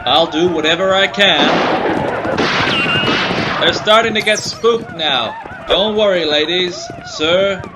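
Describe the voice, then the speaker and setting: A man plays a brave frontier gunman in a western radio play. His voice sits high for a man and his talk is plain-spoken and protective. Clear outdoor noise runs behind him. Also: slow. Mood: steady, reassuring. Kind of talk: western audio drama acting. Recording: noisy outdoor-sounding recording.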